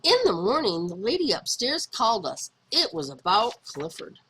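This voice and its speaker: A woman reading a children's story aloud in an expressive, dramatic manner, stretching words for emphasis. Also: medium pitch, moderate pace, slight background noise, rough recording. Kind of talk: expressive read-aloud narration for young children.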